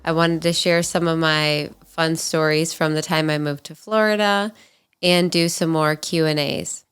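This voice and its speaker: Female podcast host, 20s, nasal and congested, low pitch, casual candid storyteller. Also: fairly even intonation, a little slow, fairly bright tone, clean tone. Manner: candid, chatty, frequent ums and likes. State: nasal, stuffy from allergies.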